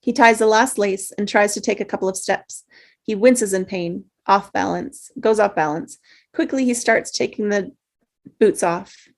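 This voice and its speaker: Woman reading out movie script directions, middle-pitched, trips on words and repeats them. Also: normal speed. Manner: stumbles and rereads phrases. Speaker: narrator.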